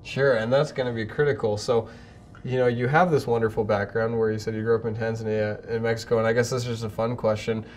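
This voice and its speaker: A man's voice: mid-range voice, little pitch movement, fast talker, darkish tone, a little hiss or hum. A man hosting a school interview show, upbeat and friendly as he asks questions. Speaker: student show host. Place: school office.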